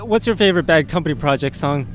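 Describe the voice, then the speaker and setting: A man hosting a punk radio show, interviewing a guest in a relaxed, chatty way and laughing often. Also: fairly high pitch, fast.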